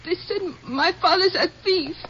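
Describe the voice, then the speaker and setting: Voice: very high voice, normal speed, sharp tone. A performer playing a tearful, feisty young rancher's daughter in an old radio drama. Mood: tearful, hurt. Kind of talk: 1950s radio drama acting. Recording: dull vintage radio, faint noise.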